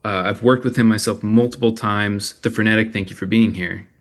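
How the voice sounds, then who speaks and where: A man's voice: fairly low pitch, fairly even intonation, fairly quick. A man moderating an online panel, introducing guests and asking long, enthusiastic multi-part questions.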